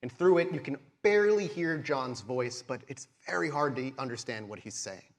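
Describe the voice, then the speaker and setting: A man running a live tabletop game as game master, narrating scenes in measured, descriptive detail. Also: mid-range voice, expressive. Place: live convention show on stage.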